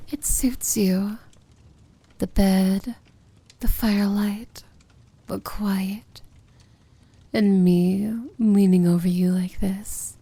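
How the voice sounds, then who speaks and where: A woman playing a seductive, tender yet commanding evil princess in an intimate fantasy ASMR roleplay, speaking softly and often whispering. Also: medium pitch, exaggerated voice. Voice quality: soft, breathy, often whispered. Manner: slow, intimate, gently commanding.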